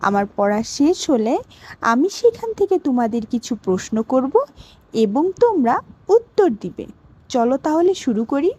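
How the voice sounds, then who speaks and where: A woman teaching a lesson for children, reciting deliberately and instructively, word by word. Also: high voice, very animated, mellow. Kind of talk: children's educational lesson, reciting names with meanings.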